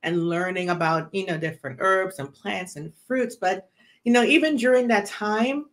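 A woman from Trinidad, a guest, talking softly and hesitantly with many fillers about her practice. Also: mid-range voice, moderate pace. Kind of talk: personal conversation.

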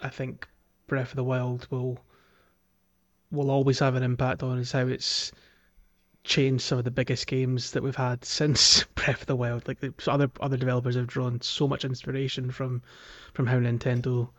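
A man co-hosting a casual gaming podcast, talking in a thoughtful, halting way on a slightly dull, lo-fi recording. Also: medium pitch, completely flat delivery. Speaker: podcast co-host.

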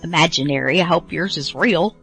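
A woman's voice: low-pitched, a little fast, fairly bright tone, faint music behind. A middle-aged woman hosting a radio show, chatting casually and rambling with many fillers. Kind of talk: casual chat.

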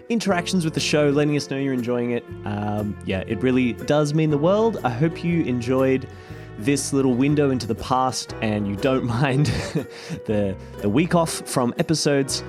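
A man hosting a podcast, talking casually and directly to listeners in an informal sign-off. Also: mid-range voice. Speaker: podcast host. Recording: music under the voice.

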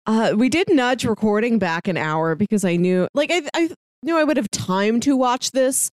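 A woman's voice: fairly high pitch, animated, normal speed, dry recording. A woman co-hosting a podcast, chatting casually and conversationally as she gives her review. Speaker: podcast co-host. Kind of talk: casual chat reviewing a TV series.

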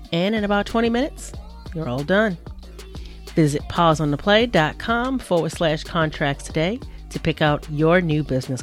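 A woman reading a sponsor advertisement in a brisk, bright manner. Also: low-pitched. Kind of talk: read advertisement.

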